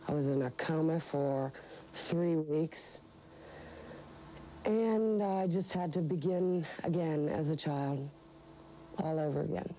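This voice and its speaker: A woman's voice: low voice, slow, pauses often, mellow, a little hiss or hum, poor audio. A woman giving a personal recollection in an interview as an accident survivor.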